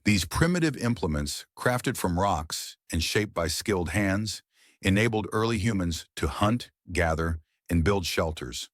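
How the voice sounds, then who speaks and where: A man's voice: sits low, animated, unhurried, no room sound, clean studio sound. A man giving a scripted model reading of an academic passage for test practice. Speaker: test prep model reader.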